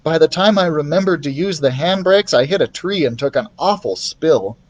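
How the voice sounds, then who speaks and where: A man's voice: on the high side, lively intonation, normal speed, lo-fi sound, pitched above the performer's own voice. A man voicing a friendly, candid young boy in a storybook, speaking in an earnest, confiding way. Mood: reminiscing.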